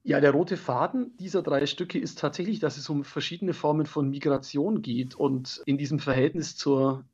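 Male jury guest, husky, fairly high voice, analytical discussion, slightly muffled recording. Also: fairly even intonation, moderate pace, darkish tone. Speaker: jury member, interview guest.

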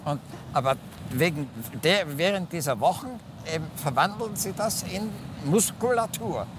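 Ein Mann erklärt als Experte in einem Interview im Freien und schmunzelt dabei. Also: male voice, on the high side, animated, measured pace. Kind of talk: explaining in an outdoor interview. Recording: outdoor interview with clear traffic-like noise.

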